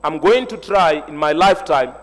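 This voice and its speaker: A man's voice: a little high, expressive, normal speed. A man from Tanzania, a Swahili speaker, giving an earnest, reflective panel speech at a university symposium. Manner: motivational, reflective, personal storytelling. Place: large university hall. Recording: echoey hall reverb.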